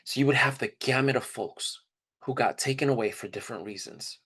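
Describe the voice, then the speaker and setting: Husky-voiced man telling a personal story. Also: medium pitch, a little fast, a bit bright. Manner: informal, reflective, acts out quoted dialogue. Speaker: performer of a dramatized first-person narrative. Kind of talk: conversational monologue storytelling, performed live.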